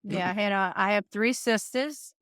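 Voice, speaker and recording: A woman's voice: mid-range voice, normal speed, fairly bright tone, clean tone, no room sound, studio quality. A middle-aged woman from New Orleans with a local accent, telling stories in a folksy, chatty way as a podcast guest. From New Orleans, Louisiana. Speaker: guest, restaurant owner. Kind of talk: casual interview chat.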